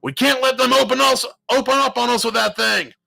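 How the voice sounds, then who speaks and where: A man voicing a hot-headed outlaw in a western story, coarse, stern and forceful. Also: very high voice, fairly even intonation, racing, gravelly, no room sound, faint music behind, pitched above the performer's own voice, full character voice. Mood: frantic shouting. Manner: coarse cowboy talk, shouting or stern.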